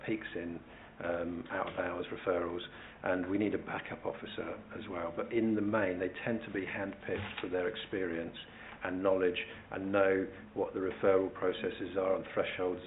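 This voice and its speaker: A man with a very deep, creaky voice gives formal, hesitant answers as a council officer. His delivery is measured and barely varies in pitch, explaining things step by step at a committee meeting. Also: level tone, narrow, tinny audio, slight background noise.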